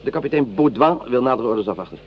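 Brisk army sergeant, played by a man. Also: medium pitch, lively intonation, natural voice. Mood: dutiful. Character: army sergeant reporting to his lieutenant. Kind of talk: radio drama acting. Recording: muffled, noisy old radio recording.

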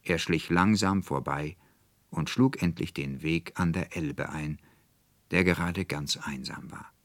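A man narrating a literary audiobook as an expressive, measured storyteller, recorded in a studio. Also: sits low, dry recording. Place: dry studio.

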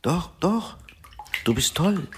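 A middle-aged American businessman in a radio drama, lonely and hesitant yet impatient about work. His pitch moves around a lot, with faint ambience behind him. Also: expressive, takes it slowly, noisy background. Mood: hesitant, awkward. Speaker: actor in a radio play. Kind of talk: German radio drama dialogue.

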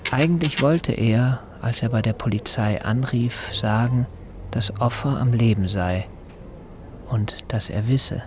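An actor playing an eager, self-important neighbour and private tutor in a radio drama, earnest and probing. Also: male voice, medium pitch, measured pace, noisy background, close to an everyday voice. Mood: intent, brooding. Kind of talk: radio drama narration and dialogue.

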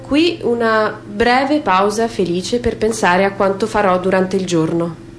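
A woman giving a theatrical literary recitation in a measured, declamatory way with dramatic pauses. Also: medium pitch. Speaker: stage reader and performer. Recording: music under the voice. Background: instrumental music.

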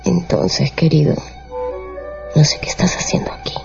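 Young courtesan, low husky-creaking voice, disdainful, insinuating, radio drama, music behind. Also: female voice, sing-song, moderate pace, warm tone, full character voice. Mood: taunting. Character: cynical young Parisian courtesan, cool and taunting. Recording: dull radio production with music underneath.

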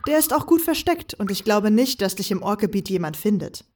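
A woman's voice: mid-range voice, big pitch swings, fairly quick, darkish tone, recorded from a distance, a little hiss or hum, close to an everyday voice. A woman playing a teasing, confident, carefree young woman who sneaks about, in a fan audio drama. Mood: teasing, amused. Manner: breezy, teasing.

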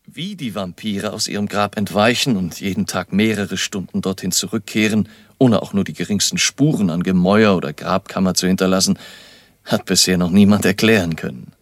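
An actor playing a grave, authoritative baron who hunts vampires, speaking formally and in a lecturing way in a radio drama. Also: male voice, sits low, fairly quick, no echo, clean studio sound. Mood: lecturing, solemn.

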